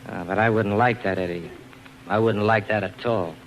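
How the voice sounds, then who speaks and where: Actor as a wary, tough 1940s club owner. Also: male voice, fairly low pitch, fairly even intonation, moderate pace, lightly acted. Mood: grudging. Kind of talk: 1940s radio drama acting. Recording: faintly noisy 1940s radio broadcast.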